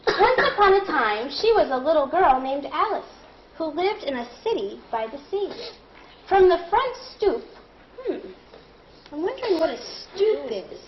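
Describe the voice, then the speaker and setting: A woman leading a read-aloud lesson as a primary teacher, deliberate and instructive, thinking out loud. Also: high-pitched, big pitch swings. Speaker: primary school teacher reading aloud to a class. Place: classroom. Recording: muffled classroom recording with clear background noise.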